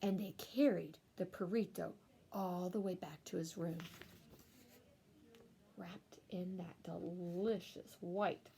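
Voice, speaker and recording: A woman teacher reading a picture book aloud to young children in a theatrical way, with hushed asides. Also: on the low side, fairly even intonation, slow pace, creaky, slight background noise. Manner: theatrical, dropping into whispered asides to young listeners.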